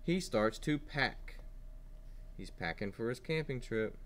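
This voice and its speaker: Animated man reading aloud to young children. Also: mid-range voice, slow. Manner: animated storytelling with simple questions to children. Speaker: kindergarten teacher.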